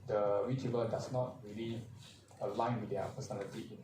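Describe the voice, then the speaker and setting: A man, a vtuber agency manager on a convention panel, speaking haltingly with many fillers. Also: lowish voice, fairly even intonation. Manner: halting, many "uh" fillers, non-native grammar. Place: large convention hall. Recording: echoey hall, distant mic, faint crowd noise.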